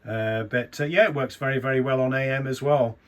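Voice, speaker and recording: A man's voice: mid-range voice, fairly even intonation, a little fast. An English man talking casually and enthusiastically as an amateur radio operator. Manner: chatty, enthusiastic. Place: small indoor radio room.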